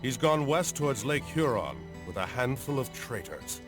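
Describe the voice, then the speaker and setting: An actor playing an earnest, authoritative colonial army colonel in a dramatic game cutscene. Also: male voice, medium pitch, very animated, normal speed, close to an everyday voice. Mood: stern. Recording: music behind the voice.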